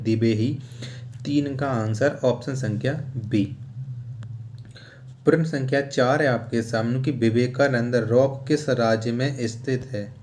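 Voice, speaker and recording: A man's voice: medium pitch, darkish tone. Male teacher, instructional delivery. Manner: repetitive, asks short questions then repeats answers. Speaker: teacher for competitive exam general knowledge. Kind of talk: quiz-style lecture, reading questions and answers.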